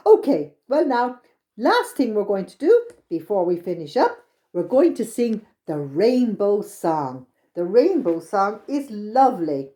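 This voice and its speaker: A woman's voice: mid-range voice, mellow. A woman with an Irish accent leading a children's storytime, speaking simply and encouragingly straight to her young listeners. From Ireland. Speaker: library storytime host leading songs and exercises.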